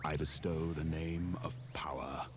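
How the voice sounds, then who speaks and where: Man as very deep, grave, world-weary storyteller. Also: fairly even intonation, measured pace. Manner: grave, portentous. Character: solemn, world-weary powerful narrator who names war machines. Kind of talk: dramatic scripted children's audio story narration. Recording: loud music behind the voice.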